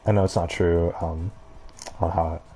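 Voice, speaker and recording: A man with a low-pitched voice giving casual gameplay commentary, rambling in a halting way and circling back on his thoughts. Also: fairly even intonation, warm tone, soft background music. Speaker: gaming video creator.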